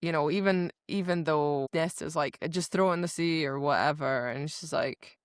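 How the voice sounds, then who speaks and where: A woman with a slightly low voice in a casual podcast chat about books. She is opinionated and emphatic, using plenty of slang. The sound is dry and close, with no room to it. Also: normal speed, clean tone, no room sound. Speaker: podcast co-host. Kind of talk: casual book discussion chat.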